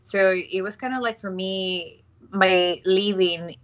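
A woman's voice: mid-range voice, fairly even intonation, takes it slowly, lo-fi sound. A woman from Ecuador in her late twenties, a guest telling her story earnestly, with frequent restarts and fillers. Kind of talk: casual interview conversation.

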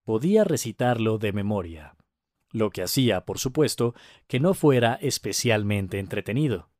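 A man narrating a scripted story for language learners, with careful, deliberate enunciation. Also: lowish voice, animated, a little mellow, no echo, clean studio sound.

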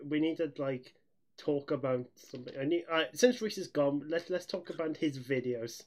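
A young man with a fairly high-pitched voice chats casually as a podcast co-host. He rambles through anecdotes, restarting excitedly and giggling easily. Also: normal speed, over a noisy crowd. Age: young adult. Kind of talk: casual chat, telling anecdotes.

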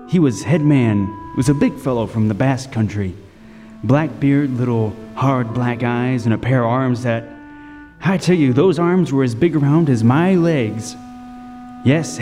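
A man playing a lighthouse keeper, narrating a radio drama in a brooding, lyrical, deliberate way with dramatic emphasis. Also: mid-range voice, dark tone, lightly acted. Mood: wry. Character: world-weary, vivid storytelling lighthouse keeper, male. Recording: long reverb, music behind.

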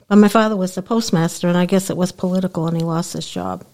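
A woman's voice: on the low side, steady tone, quick pace, darkish tone. An older woman from New Hampshire, a podcast guest recalling her childhood in plain, brief answers. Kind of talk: reminiscing in conversation.

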